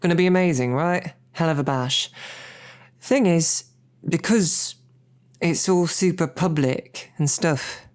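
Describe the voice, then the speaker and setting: A woman playing a bashful, smitten knight and royal guard in an intimate audio roleplay, speaking haltingly with flustered, self-deprecating rambling. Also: on the low side, a little mellow. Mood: flustered, earnest.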